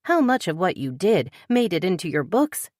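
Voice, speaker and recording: A woman's voice: on the low side, very animated, normal speed, darkish tone, dry recording, clean studio sound, voice pushed up. A woman voicing a blunt, suspicious woman writer who questions sharply and demands answers. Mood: demanding, tense.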